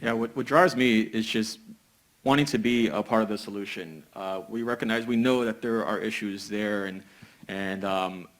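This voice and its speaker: A young man from a business school speaking on a panel, measured, with many "uh" hesitations. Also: on the low side, low-quality recording. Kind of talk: panel discussion.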